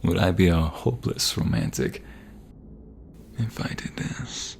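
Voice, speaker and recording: A man's voice: low voice, measured pace, creaky. A man playing a young romantic mobster, protective and teasing, in an intimate ASMR audio roleplay. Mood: tender, teasing.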